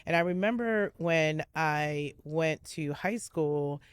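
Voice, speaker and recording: Animated woman telling stories. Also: sits low, slow, smooth, dry recording. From Miami, USA. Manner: animated storyteller, lots of likes and restarts. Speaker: interview guest. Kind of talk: casual conversational interview.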